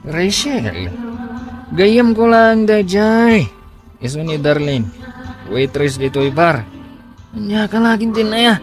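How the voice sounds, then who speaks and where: A man's voice: high-pitched, sing-song, slow pace, long pauses, soft background music, heavily acted. An actor playing a cocky, defensive young womanizing boyfriend in a Filipino radio drama, with a Filipino accent. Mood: defensive, annoyed. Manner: defensive, exasperated, self-satisfied. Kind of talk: Philippine radio drama acting.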